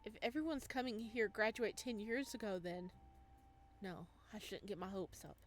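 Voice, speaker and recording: A lonely young ghost tells of his afterlife in a visual novel, played by a woman in her natural register. He is wistful and resigned, with a mid-pitched voice. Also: normal speed, natural voice. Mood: weary, subdued. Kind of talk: visual novel read aloud.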